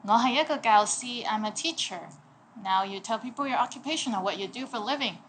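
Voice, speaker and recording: A woman's voice: a little high, fairly quick, slight background noise. Playful woman teaching vocabulary. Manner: playful, jokey, swings between loud and quiet. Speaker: language teacher. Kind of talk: casual language lesson.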